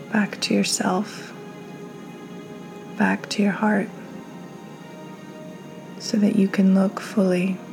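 A woman hosting and guiding a meditation in a calm, gentle, soothing manner, her soft delivery often slipping toward a breathy near-whisper. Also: mid-range voice. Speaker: podcast host, meditation guide. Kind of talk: guided meditation, slow and soothing.

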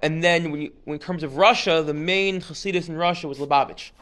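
A man's voice: on the high side, fairly quick, fairly bright tone. A man giving an informal history lecture, chatty and digressive, often restarting sentences and dropping joking asides.

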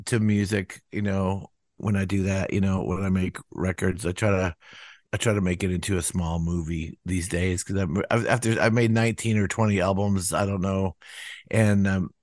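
An older man musician talks in a loose, rambling way, stacking up "you know"s and slipping into higher voices when he quotes people. His voice sits low. The sound is dry and close. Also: fairly even intonation, normal speed, darkish tone, dry recording. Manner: rambling, many "you know" fillers, acts out quotes in a raised voice. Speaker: musician guest. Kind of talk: casual interview talk.

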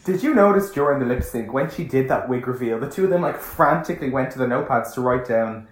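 A man co-hosting a podcast, chatting casually with halting restarts. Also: medium pitch, animated, slight background noise. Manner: halting, repeats words when starting. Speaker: podcast co-host. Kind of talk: casual chat. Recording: roomy echo.

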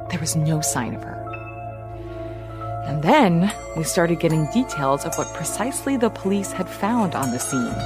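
An actress playing a wry, intrigued radio journalist who narrates a true-crime podcast in an audio drama. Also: female voice, low voice. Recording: clear music bed behind.

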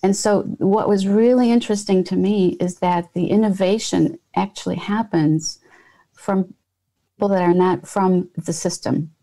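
A woman's voice: mid-range voice, steady tone, measured pace, mellow, rough recording. A woman in her fifties, from Poland, speaking earnestly as a guest entrepreneur in an interview, with many fillers and restarts. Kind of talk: conversational interview.

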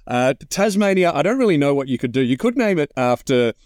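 Aussie bloke co-hosting sports chat, jokey and wry, really dry sound, middle aged. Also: male voice, fairly high pitch, very animated, a little fast, a little mellow, dry recording. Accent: Australian. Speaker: sports podcast co-host. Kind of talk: casual joking sports chat.

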